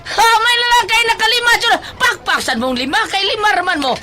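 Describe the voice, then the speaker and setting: A performer voicing a loud, bossy, excitable student in an audio drama, with a Filipino accent and exclaiming, teasing, drawn-out delivery. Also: extremely high pitch, heavily acted. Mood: excited, teasing. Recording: background music and crowd noise.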